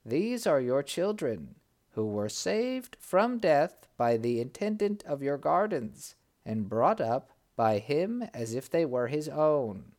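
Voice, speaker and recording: A wise talking bird, as a storyteller puts it on, measured and solemn. It speaks slowly and deliberately, with lively movement in pitch.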